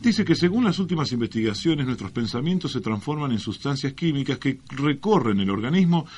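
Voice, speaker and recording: A man's voice: medium pitch, expressive, brisk, a little mellow, breathy edge. An Argentine man hosting a radio show, chatting casually and reading clippings with mock-serious irony. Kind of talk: casual comic banter, reading press clippings aloud. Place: radio studio.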